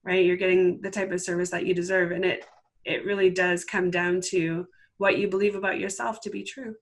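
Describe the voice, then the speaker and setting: A woman's voice: lowish voice, level tone, fairly quick. A woman, speaking as an interview guest and coach, earnest and conversational, acting out quoted exchanges as she explains. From Canada.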